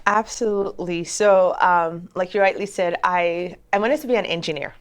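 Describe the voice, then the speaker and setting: A woman with a Nigerian accent telling a personal story in an interview, speaking in an expressive, conversational way. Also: fairly low pitch, moderate pace, clear, resonant tone. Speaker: attorney and judicial candidate.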